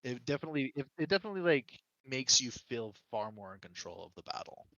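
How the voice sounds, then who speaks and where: A man's voice: mid-range voice, very animated, quick pace, bright, forceful tone, no room sound, muffled recording. A man on a casual gaming podcast, explaining his opinions conversationally and working through examples. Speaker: podcast co-host or guest.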